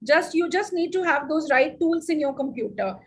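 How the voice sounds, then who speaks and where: High-voiced Indian woman teaching teachers. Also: moderate pace. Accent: Indian English. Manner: lively, addresses the audience with questions. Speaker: teacher trainer. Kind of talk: live teacher workshop.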